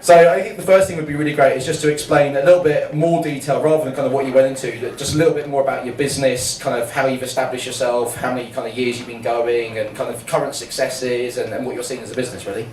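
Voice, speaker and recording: A man's voice: medium pitch, steady tone, fast talker, hardly any pauses, a little mellow, slight background noise. A man moderating a panel in a chatty, joking, self-deprecating way. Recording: echoey room, distant mic.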